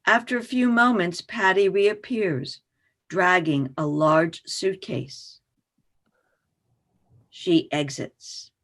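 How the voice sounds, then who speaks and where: A woman's voice: lowish voice, slow, long pauses, a bit bright. A woman reading scripted stage directions as narrator for a play reading.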